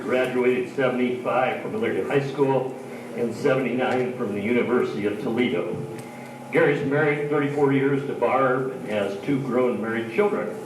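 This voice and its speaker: A man with a big, folksy voice speaks at a community candidates night on someone else's behalf. He is heard from across a reverberant hall with steady background noise. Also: mid-range voice. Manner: loud, folksy, joking. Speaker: stand-in speaker for a council candidate. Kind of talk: public candidates-night speech. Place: large hall, heard from far off. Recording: echoey hall from far off, noisy.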